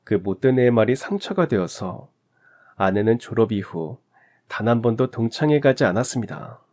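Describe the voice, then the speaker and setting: Man, story narrator, calm, sympathetic, mid-range pitch. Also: moderate pace.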